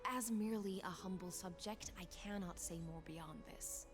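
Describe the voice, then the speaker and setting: A woman voicing a proud swordfish knight commander in a game, speaking in a formal, measured, courtly way. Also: mid-range voice, faint music behind, natural voice.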